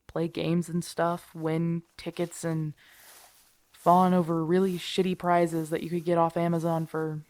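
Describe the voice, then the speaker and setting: A woman's voice: fairly low pitch, level tone, unhurried, a little mellow, no echo, lightly acted. A woman acting in an audio roleplay as a grumpy, protective zombie apocalypse survivor, blunt and sardonic, talking intimately to her companion. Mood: wistful, animated.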